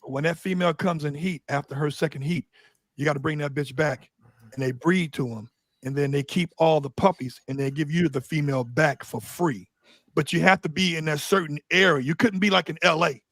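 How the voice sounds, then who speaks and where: Slangy, storytelling man, high-pitched. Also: fairly quick, fairly bright tone, no echo. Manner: loose, streetwise storytelling with blunt slang. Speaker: interview guest, Doberman breeder. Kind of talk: casual conversational interview.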